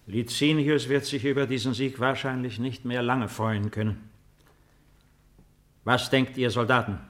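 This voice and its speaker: An actor in a 1950s German radio drama playing a composed, weary old ruler with a formal, measured, authoritative manner. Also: male voice, medium pitch, fairly even intonation, a bit bright, lightly acted. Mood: resigned, authoritative.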